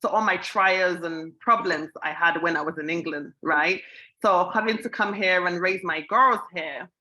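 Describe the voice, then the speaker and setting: A woman's voice: lowish voice, normal speed, sharp tone, rough recording. A woman from Sierra Leone, raised in England, speaking earnestly on a community panel and often repeating herself for emphasis. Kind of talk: panel discussion answer.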